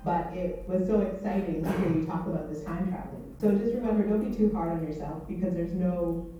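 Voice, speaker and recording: A woman talks casually and encouragingly, like a workshop leader. Her lowish voice is heard from a distance, dulled and heavily echoed by the room. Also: brisk, warm tone, lo-fi sound, a little hiss or hum. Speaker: workshop facilitator. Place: echoey room, far from mic.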